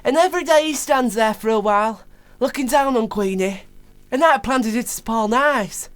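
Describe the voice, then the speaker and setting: Actress as a high-voiced gossipy neighbour. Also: female voice, fairly quick, close to an everyday voice. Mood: rueful. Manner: chatty, blunt, colloquial. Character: gossipy working-class woman neighbour with blunt sympathy. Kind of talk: colloquial radio play storytelling.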